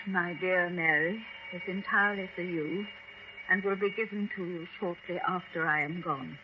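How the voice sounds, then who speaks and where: An actress playing a distressed, frail widow in a 1970s radio drama, faltering and pleading. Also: female voice, medium pitch, close to an everyday voice. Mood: solemn, uneasy. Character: distressed, frail widow reading late husband's letter. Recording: muffled, noisy old radio broadcast.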